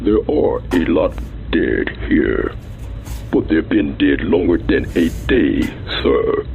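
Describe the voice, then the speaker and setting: An actor playing a blunt, grim rabbit officer in an audio drama, with a gravelly voice and a slow, blunt delivery. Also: male voice, very deep voice, lively intonation, a little mellow, lo-fi sound, faint music behind, full character voice.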